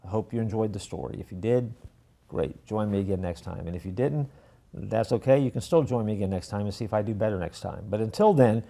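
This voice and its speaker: Gravelly mellow guy telling a little church story, folksy, kinda jokes at himself, trails off quiet. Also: lowish voice, brisk. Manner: folksy, self-deprecating, trails off softly at phrase ends. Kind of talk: casual storytelling with a moral.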